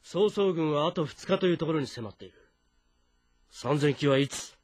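A man's voice: on the high side, animated, moderate pace, dry recording, faint music behind. A man playing an anxious, weary fortress commander of ancient China in a dramatic, earnest, brooding way. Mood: guarded, urgent. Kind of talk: dramatic game voice acting with inner monologue.

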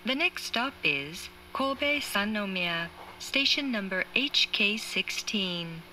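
A woman reading scripted train announcements in a formal, measured manner, as heard inside a carriage. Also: mid-range voice, expressive, pushed and bright, low-quality recording. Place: train carriage speaker, train ambience behind. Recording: faint train noise, recorded inside carriage.

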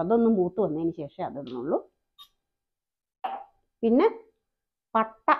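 A woman's voice: mid-range voice, highs cut off. Chatty woman explaining a recipe. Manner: chatty, explains each step, frequent tag questions. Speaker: cooking channel host. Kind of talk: cooking demonstration voice-over.

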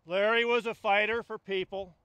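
An older man delivering a eulogy outdoors into a stand mic. His voice sits high and bright, with a dry, close sound. He tells stories with wry humor. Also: steady tone, normal speed, smooth, dry recording, poor audio. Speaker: union delegate giving a eulogy. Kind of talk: funeral eulogy. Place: outdoors at a funeral gathering among trees.

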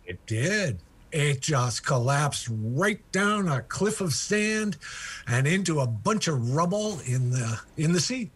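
A man's voice: on the high side, big pitch swings, takes it slowly, fairly bright tone, slightly rough. An older man in his sixties, an author guest, telling a local history story over a video call with lots of ums and uhs. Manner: enthusiastic, many ums and uhs. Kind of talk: remote interview storytelling.

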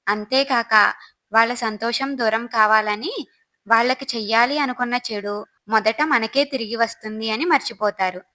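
A woman's voice: a little high, normal speed, sharp tone. A woman narrator reading a scripted moral story.

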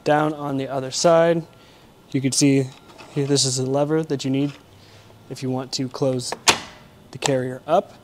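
A man's voice: mid-range voice, fairly even intonation, measured pace, pauses often, darkish tone, a little hiss or hum. A young man in his twenties casually explaining a kayak carrier install step by step while working around a vehicle in an indoor garage. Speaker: product installer and presenter. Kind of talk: product installation demo.

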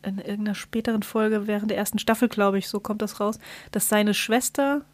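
A woman's voice: medium pitch, fairly even intonation, brisk, dry recording. Woman in casual podcast chat, very dry sound. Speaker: podcast co-host.

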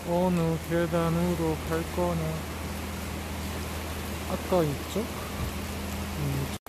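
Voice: mid-range voice, steady tone, drawn-out words. A travel vlogger commenting casually and spontaneously while sightseeing, musing to themselves with murmured exclamations. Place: outdoors on a bridge and harbour waterfront in rain. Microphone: handheld phone or camera held by speaker. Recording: noisy outdoor phone recording, rain and traffic.

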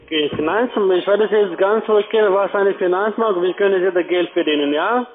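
A man with a foreign accent giving a sales pitch as a telephone agent. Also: fairly quick. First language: not German. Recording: tinny phone line.

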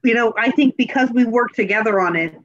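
A woman's voice: on the high side, fairly quick, no echo. Woman with dry, muffled call sound. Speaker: director. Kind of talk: casual chat. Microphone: computer on a video call. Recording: dull, dry video call audio.

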